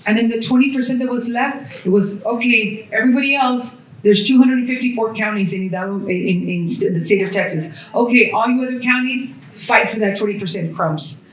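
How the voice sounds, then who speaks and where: Panelist, woman, earnest civic speaker, booming hall sound, distant from the mic. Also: fairly quick. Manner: earnest, civic, lists places and agencies. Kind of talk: panel discussion talk. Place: hotel event room at a brunch. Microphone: handheld mic passed along the panel.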